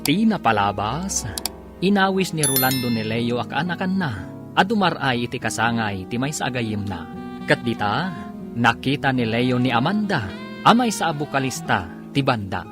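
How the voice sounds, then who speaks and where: A male Ilocano radio drama narrator with a wide, swooping delivery over clearly audible guitar music. He announces in a theatrical, scripted way, stretching out key phrases. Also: mid-range voice, big pitch swings. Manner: theatrical, drawn-out title calls.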